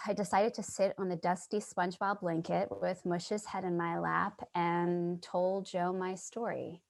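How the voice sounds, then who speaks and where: Woman playing troubled loner in a stage play, earnest, halting, unhurried pace, lots of vocal fry. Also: on the low side, little pitch movement. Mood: wry, troubled. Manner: hesitant, halting, dramatic. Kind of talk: staged play reading with monologue.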